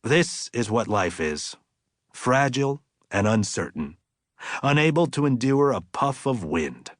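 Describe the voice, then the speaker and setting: A man voicing an earnest, reflective middle-aged father in an audiobook, musing deliberately and with emphasis. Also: mid-range voice, very animated, dry recording, studio quality.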